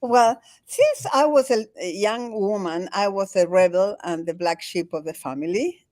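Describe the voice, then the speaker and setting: An older woman from Chile speaks English with a Chilean Spanish accent, her native tongue being Spanish. She talks haltingly and laughs often, restarting her sentences. Her voice sits in a middle pitch and sounds close and dry. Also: a little mellow, dry recording. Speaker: guest, spiritual teacher. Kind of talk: conversational interview. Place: home in the Andes.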